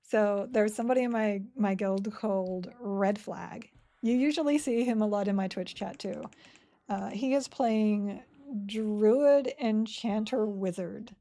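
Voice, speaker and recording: A woman's voice: medium pitch, measured pace, mellow. A woman streaming a game live, chatting casually and rambling while she plays and reads chat aloud.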